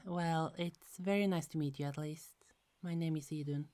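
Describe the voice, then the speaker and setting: A woman voicing a gentle, chatty young goddess in an ASMR roleplay, speaking softly with frequent little laughs. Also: deep voice, fairly even intonation, moderate pace, lightly acted. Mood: friendly. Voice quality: soft, half-whispered, giggly.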